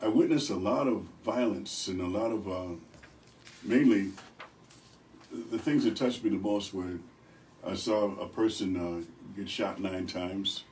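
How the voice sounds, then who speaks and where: An older man from Rochester, New York with a deep voice, speaking as an evangelist guest in a radio studio, telling stories with good humor. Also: measured pace, darkish tone, a little hiss or hum. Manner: good-humored, rambling storyteller. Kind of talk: radio interview. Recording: distant from the mic.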